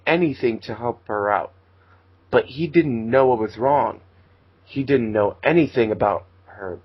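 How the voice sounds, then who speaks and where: Guy reading fanfic out loud, casual narrator, mid voice, kinda lo-fi recording. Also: mid-range voice, husky. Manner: casual asides and stumbles while reading. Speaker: narrator and host. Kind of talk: informal amateur fan fiction narration.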